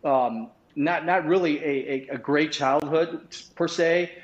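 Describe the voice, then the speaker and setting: A man's voice: fairly high pitch, takes it slowly. Man telling his story in a roomy room. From Vietnam. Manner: rambling with frequent restarts and fillers. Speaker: podcast guest. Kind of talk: casual storytelling. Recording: roomy, dull lo-fi sound.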